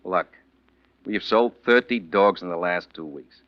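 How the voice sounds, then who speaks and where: A man's voice: low voice, a little slow. A man playing an irritable, exasperated kennel owner in a 1940s radio drama, indignant and emphatic. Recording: muffled old radio recording.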